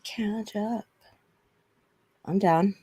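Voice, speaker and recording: A woman's voice: mid-range voice, drawn-out words, dark tone, husky, dry recording. A woman co-hosting a casual livestream chat, easygoing and friendly, answering with short reactions.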